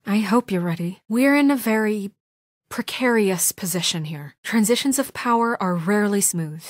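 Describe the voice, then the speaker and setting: A woman voicing a poised, stern young woman in authority in a video game drama, speaking in an earnest, measured, formal way. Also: mid-range voice, lively intonation, no echo, clean studio sound, natural voice. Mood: concerned, serious. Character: poised, stern young woman leading a troubled town government.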